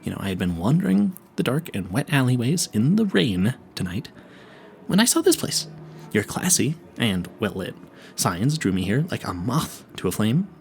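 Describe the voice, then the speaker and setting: A man acts out a clueless young vampire hunter in an audio roleplay. His pitch swings widely as he stammers and rambles, nervous and eager, with a creaky edge to his voice. He talks straight to the listener and keeps losing his thread in earnest tangents. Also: a little mellow, slight background noise. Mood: chatty, admiring.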